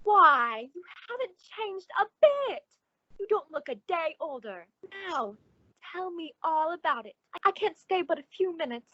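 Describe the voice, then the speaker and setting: An actress playing a gushing, solicitous, sociable woman with effusive, drawn-out emphasis in an old-time radio suspense drama. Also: very high-pitched, sing-song, fairly bright tone, dry recording, lo-fi sound, full character voice. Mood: breezy, effusive.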